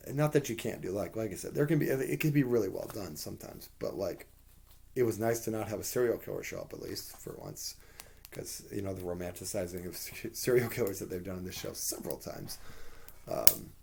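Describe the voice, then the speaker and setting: This is a man whose voice is hoarse and rough, sitting low and dark with a gravelly edge. He rambles through a casual review, piling up fillers and the odd swear word as he speaks his mind.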